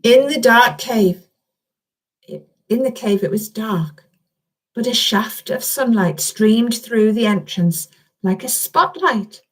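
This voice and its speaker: A woman's voice: a little high, animated, takes it slowly. A woman reading a children's story expressively, speaking directly and encouragingly to young listeners.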